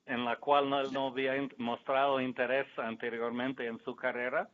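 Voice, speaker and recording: A man with a Mexican Spanish accent speaks as a panelist, measured and candid, with a critical edge to his remarks. His voice sits at an ordinary middle pitch. The sound is thin and narrow, like a phone line. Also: little pitch movement. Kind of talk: discussion remarks.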